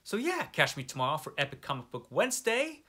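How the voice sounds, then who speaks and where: A man's voice: on the high side, wildly swooping pitch, fast talker, pushed and bright. A man hosting a book vlog in casual, unscripted chat, informal and self-deprecating, drawing out words for emphasis. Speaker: book vlogger, channel host.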